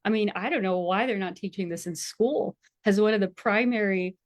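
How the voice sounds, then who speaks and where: A woman's voice: mid-range voice, moderate pace, no echo. Enthusiastic woman astrologer, dry close sound. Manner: enthusiastic, animated, frequent laughter. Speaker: astrologer and school founder. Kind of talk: conversational interview.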